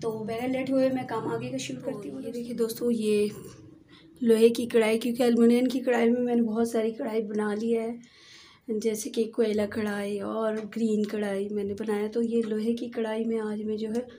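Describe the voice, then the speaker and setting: A woman's voice: on the high side, level tone, normal speed, mellow. A woman hosting a home cooking video, talking casually and explaining each step as she cooks at a gas stove. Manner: chatty, explains each step and says "theek hai" often. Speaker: home cooking channel host. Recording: noisy kitchen, echoey and distant.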